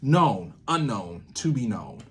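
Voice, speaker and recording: A man hosting a casual vlog, thinking aloud in an informal, rambling way with sudden drawn-out, emphatic words. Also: medium pitch, sing-song, a little hiss or hum. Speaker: video series host, theatre maker. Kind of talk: casual unscripted creative-process talk, thinking aloud.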